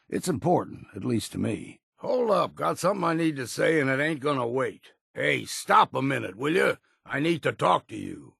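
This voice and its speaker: A weathered old hunter speaks with a deeply creaky, raspy voice. He is blunt, proud and weary, a game character with a folksy, plain-spoken drawl. The sound is very dry, with no room around him. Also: no echo, exaggerated voice. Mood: insistent. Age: older. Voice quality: gravelly, husky. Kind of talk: video game character dialogue.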